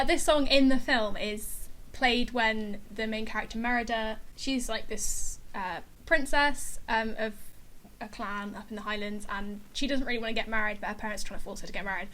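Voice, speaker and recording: A woman co-host with a bright, fairly high voice, chatting casually about music. She speaks with open enthusiasm in a relaxed, conversational way.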